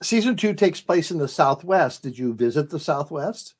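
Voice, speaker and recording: A man's voice: fairly high pitch, lively intonation, normal speed, breathy edge. A man interviewing a guest conversationally, with a polite, appreciative manner. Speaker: interview host.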